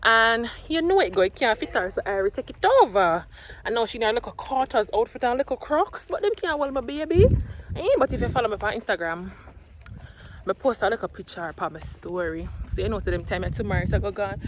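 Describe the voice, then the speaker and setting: A woman's voice: mid-range voice, animated, a little fast, background noise. A young woman from Trinidad, speaking in a Jamaican patois accent that she has learned, chatting casually to her phone camera for a vlog. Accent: Jamaican patois, put on by a Trinidadian. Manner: chatty, mixes patois and standard English. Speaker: vlogger, single mother. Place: home, then in a car and out shopping.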